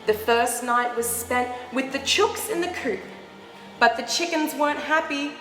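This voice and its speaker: High-voiced woman reading a children's story, echoey. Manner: animated, exaggerated reactions with gasps and drawn-out words. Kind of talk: expressive picture-book read-aloud with asides to young children. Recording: roomy echoing hall sound, background music. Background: music.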